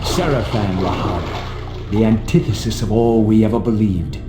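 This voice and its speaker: A man playing a bitter, vengeful vampire wraith in a video game, measured, eloquent and theatrical. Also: fairly low pitch, lightly acted. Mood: cold, vengeful. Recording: echoing, music underneath.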